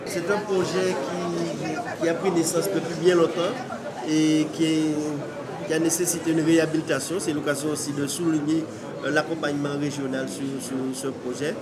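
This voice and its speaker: A man's voice: on the high side, flat delivery, moderate pace. A man giving a formal but hesitant interview statement as a local official. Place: busy public event. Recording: loud crowd chatter behind voice.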